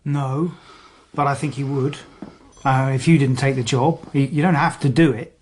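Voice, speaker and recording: An actor playing a weary, methodical middle-aged police detective, slightly husky, measured and understated, in naturalistic radio drama style. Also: male voice, medium pitch, fairly even intonation, a little hiss or hum, lightly acted. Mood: earnest. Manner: measured, matter-of-fact, understated.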